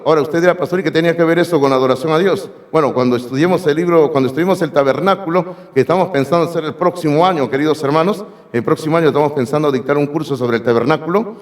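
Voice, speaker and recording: A hoarse man preaching a sermon as pastor, emphatic and speaking directly to his congregation in a church sanctuary. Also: on the high side, fast, few pauses. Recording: long reverb of a church hall.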